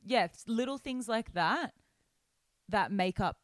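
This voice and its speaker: A woman's voice: a little high, expressive, unhurried, no echo. A young woman co-hosting a podcast, chatting casually, laughing a lot and chiming in. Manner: giggly, agreeable, chimes in. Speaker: podcast co-host. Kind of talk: casual chat.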